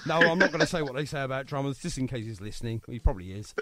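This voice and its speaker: A man's voice: medium pitch, animated, racing, fairly bright tone, no room sound. A man hosting a casual radio interview, jokey and rambling, with lots of fillers. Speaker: radio show host. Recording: phone interview on online radio.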